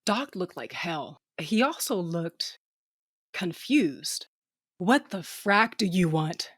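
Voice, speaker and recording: A woman's voice: lowish voice, big pitch swings, slow pace, a bit bright, husky, dry recording, clean studio sound, exaggerated voice. A woman playing a blunt, sardonic female starship captain who narrates her own science fiction audio drama in slangy language. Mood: wry narration. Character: tough, sardonic, foul-mouthed female ship captain with a cybernetic eye. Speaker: narrator and lead character. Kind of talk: first-person audio drama narration and dialogue.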